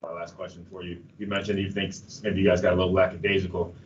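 Deep-voiced reporter, distant and muffled. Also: male voice, little pitch movement, fast, a little hiss or hum. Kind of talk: press conference questions.

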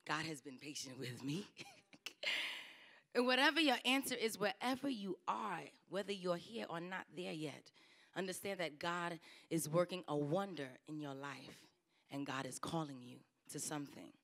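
A woman's voice: lowish voice, expressive, moderate pace. Earnest young woman preaching. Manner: earnest, rising and falling emphasis, softening to a near whisper at the close. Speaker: licentiate preacher. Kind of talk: trial sermon. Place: church stage, indoor. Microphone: handheld microphone at a lectern.

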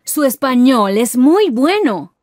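A woman playing a friendly stranger in a scripted language lesson, pronouncing each phrase deliberately. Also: high-pitched, very animated, darkish tone, dry recording, clean studio sound, exaggerated voice. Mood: friendly, curious. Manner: deliberate, carefully enunciated. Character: friendly Peruvian woman chatting with a tourist. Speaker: voice actor in language lesson dialogues. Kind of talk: scripted learner dialogue, each phrase said slowly then at normal speed.

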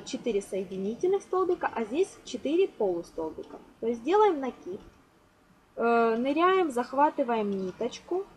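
A woman giving a step-by-step craft tutorial in a reassuring, instructional way, often counting aloud. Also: on the high side. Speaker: crochet tutorial host. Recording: noisy home recording.

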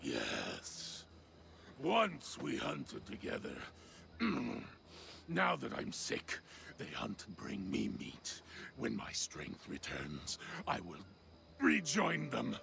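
Sick wild hermit from a video game, super gravelly and slow, pitch swings all over. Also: male voice, huge pitch swings, pushed and bright, soft background music, heavily acted. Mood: sly, proud. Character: sick wild man living among lions as brothers.

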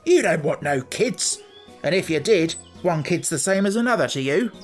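A storybook reader voicing a stubborn, gruff coachman who talks in blunt country dialect. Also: medium pitch, unhurried, a bit bright, soft background music, close to an everyday voice. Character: gruff rustic coachman, stubborn married man.

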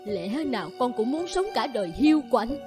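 A woman's voice: fairly high pitch, lively intonation, moderate pace, over music, lightly acted. A woman voicing a stern, worried middle-aged village mother in a cartoon, scolding and lamenting. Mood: pleading, sad. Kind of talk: cartoon voice acting.

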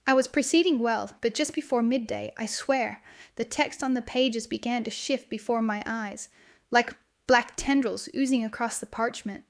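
A young female student , played by a voice actress, confides in her video diary in a fairly high voice. The delivery is dramatic, made for a horror audio story, and the sound is close and dry. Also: normal speed, vocal fry, dry recording. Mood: uneasy, obsessed.

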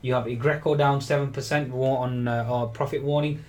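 Male market commentator, mid-pitched, halting and filler-laden daily update. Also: steady tone, a little hiss or hum. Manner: hesitant, many "uh" fillers, repeats "certainly". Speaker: market analyst. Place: voice-over on screen recording.

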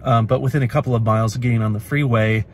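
A man's voice: medium pitch, fairly even intonation, very fast, slight background noise. Car vlogger, man, 30s, from the Pacific Northwest; plain mid voice, loose and chatty, phone mic inside a car. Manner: chatty, matter-of-fact, many uhs and restarts. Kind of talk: casual vlog talk to camera. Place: inside a parked car at night. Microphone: phone camera at arm's length.

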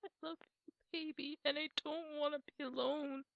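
A woman playing an apologetic, emotional girlfriend in an intimate ASMR roleplay, speaking softly and haltingly. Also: high voice, steady tone, no room sound, lo-fi sound. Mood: tearful pleading. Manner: halting, soft, breathy delivery with pauses. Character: apologetic, tearful girlfriend pleading to make up. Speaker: roleplay audio performer.

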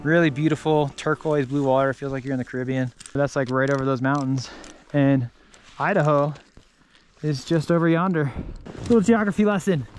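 A man's voice: on the high side, steady tone, normal speed. Laughing snowboarder man on a mountain. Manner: laid-back, laughs often. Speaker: vlog host. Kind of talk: casual vlog chat. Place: outdoors on a snowy ski mountain.

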